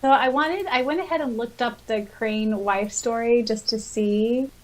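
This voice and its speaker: A woman's voice: a little high, measured pace. A woman co-hosting a podcast, chatting casually and retelling stories in an informal way. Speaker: podcast co-host.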